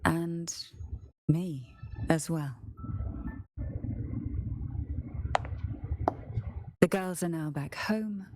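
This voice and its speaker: Stately game noblewoman, lowish pitch, very slow delivery, formal and solemn. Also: background noise. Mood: gentle, composed. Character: solemn, refined noblewoman, head of a castle family. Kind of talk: dramatic video game dialogue. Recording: game audio with faint music behind.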